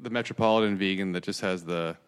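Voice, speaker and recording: A man's voice: low voice, fast talker, smooth. A man co-hosting a podcast in casual, joking, laid-back chat. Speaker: podcast co-host.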